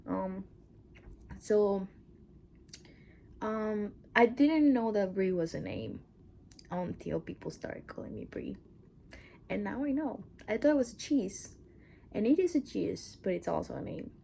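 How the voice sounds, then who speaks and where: A woman's voice: medium pitch, animated, measured pace, gravelly, pauses often, dark tone, slight background noise. A woman from Mexico with a Mexican accent, Spanish as her first language, chatting in a casual personal vlog, rambling with asides and sometimes dropping to a whisper. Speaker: channel host.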